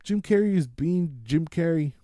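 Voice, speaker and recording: Mellow, slangy man chatting. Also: a little high, expressive, normal speed, no echo, studio quality. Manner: slangy, profane, rambling. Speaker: podcast co-host reviewing a film. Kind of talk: casual film review chat.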